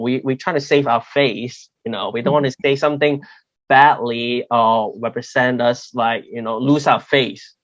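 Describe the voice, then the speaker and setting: A man's voice: medium pitch, no room sound, dull audio. A man from Hong Kong with a Cantonese accent, speaking as a panel guest, hesitant and full of fillers and restarts. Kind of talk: livestream panel discussion.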